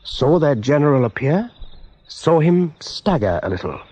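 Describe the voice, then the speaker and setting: A man's voice: a little high, sing-song, a little slow, mellow, slightly rough, full character voice. A man narrating a radio drama as a storyteller, with vivid, suspenseful delivery. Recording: dull 1980s radio recording.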